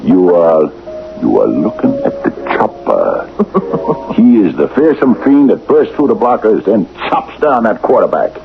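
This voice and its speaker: Gruff, gravelly, ruthless football coach. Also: male voice, fairly low pitch, big pitch swings, unhurried, full character voice. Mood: mocking, menacing. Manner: gruff, mocking, tough slangy talk. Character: ruthless, hard-bitten male football coach, bullying and blunt. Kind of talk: radio mystery drama acting. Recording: dull old radio recording with music.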